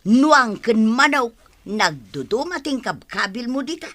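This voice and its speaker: A woman with an Ilocano Filipino accent playing a lively, inquisitive village housewife in an audio comedy. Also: fairly high pitch, a little slow. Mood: surprised, inquisitive.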